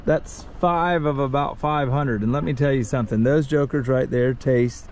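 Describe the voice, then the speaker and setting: A man's voice: mid-range voice, moderate pace, a little mellow. A man, a father vlogging a hunting trip outdoors in a folksy, upbeat way on a camera mic. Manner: folksy, upbeat, talks to viewers. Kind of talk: casual outdoor vlog narration. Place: outdoors in woods and fields. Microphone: action camera held or worn.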